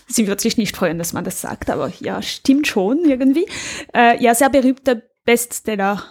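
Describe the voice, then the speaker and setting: A woman's voice: on the high side, expressive, unhurried. A woman co-hosting a podcast, chatting casually as she reviews. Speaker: podcast co-host. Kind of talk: casual chat, reviewing audio dramas.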